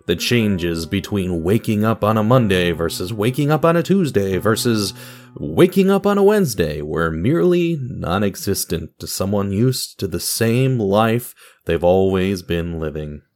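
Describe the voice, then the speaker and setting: A man narrating a scripted horror story in a measured, dramatic radio theatre style. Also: on the low side, animated, darkish tone. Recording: music under the voice. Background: music.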